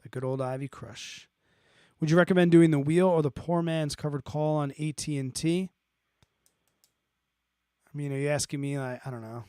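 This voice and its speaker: A man's voice: on the high side, steady tone, moderate pace, long pauses, warm tone, clean tone, dry recording. A man hosting an investing live stream, explaining off the cuff in an informal, blunt way, thinking aloud and checking in as he answers viewer questions.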